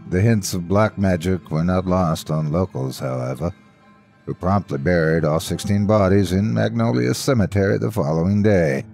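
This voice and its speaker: A man narrating a horror story in a theatrical, deliberate, measured way, with a gravelly voice. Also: low-pitched, a little mellow. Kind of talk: dramatic scripted horror storytelling. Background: faint background music.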